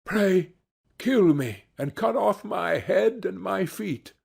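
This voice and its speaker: A man voicing a wise old fox who gives earnest, deliberate counsel in a storybook reading. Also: high-pitched, lively intonation, gravelly, a little mellow, breathy edge, pitched above the performer's own voice, heavily acted. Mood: pleading. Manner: instructing, deliberate.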